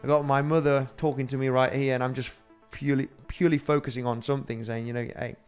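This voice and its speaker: A man's voice: medium pitch, flat delivery, fast, clear, resonant tone. Man, Spanish accent, rambling livestream host, faint music underneath. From Spain. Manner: rambling and chatty, with frequent fillers and laughs. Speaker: crypto trading host. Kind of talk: casual livestream chat reading viewer comments.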